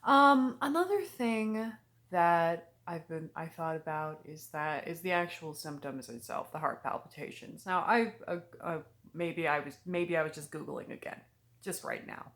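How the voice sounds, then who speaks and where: A woman in her early thirties talking casually and candidly in a confessional vlog, reflective and halting, with trailing pauses. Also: on the low side, fairly bright tone. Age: early 30s. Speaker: vlogger.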